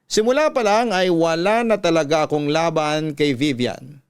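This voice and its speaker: A man narrating a sorrowful first-person letter as a radio-style storytelling host, solemn and measured. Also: on the high side, clean tone, no room sound, clean studio sound.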